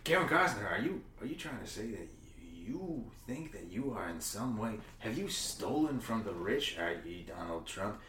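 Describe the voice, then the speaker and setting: A man doing a bumbling, excitable panhandler. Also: fairly low pitch, normal speed, few pauses, far from the mic. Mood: rambling. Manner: rambling, excitable, prone to yelling. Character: bumbling middle-aged male panhandler, excitable. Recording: echoey room, off-mic, faint noise.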